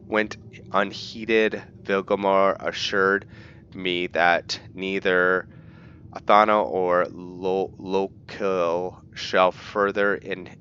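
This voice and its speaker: A man narrating a roleplay game playthrough in a casual, halting way, stumbling over words, on a dull mic. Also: low voice, fairly bright tone, slight background noise. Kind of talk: casual gameplay commentary mixed with in-character journal reading.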